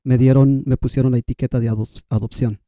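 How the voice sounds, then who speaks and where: A man's voice: medium pitch, steady tone, fast, warm tone, dry recording, clean studio sound. A Guatemalan man, a native K'iche' speaker, telling his personal story conversationally as a podcast guest.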